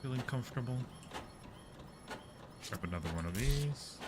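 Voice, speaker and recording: Guy livestreaming a game, medium pitch, jokey and jumpy, mutters to himself, noticeable background noise. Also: lively intonation, normal speed. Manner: playful, jumpy, mutters to himself while playing. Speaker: live stream host. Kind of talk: casual live game streaming chat.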